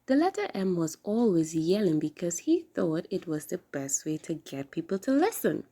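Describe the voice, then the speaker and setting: A woman hosting a children's story time, reading aloud in an animated, expressive way. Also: on the low side, moderate pace, breathy edge.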